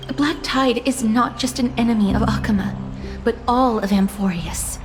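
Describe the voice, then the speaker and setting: Actress as gentle, solemn young woman, higher voice. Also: over music, natural voice. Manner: soft, courteous, formal, measured. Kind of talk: scripted video game dialogue.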